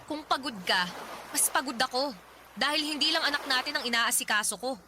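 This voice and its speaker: A woman's voice: sits high, brisk, background noise, lightly acted. A woman playing a fed-up young wife and mother who complains in a Filipino radio drama. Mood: annoyed, complaining. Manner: exasperated, complaining. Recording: music behind the voice.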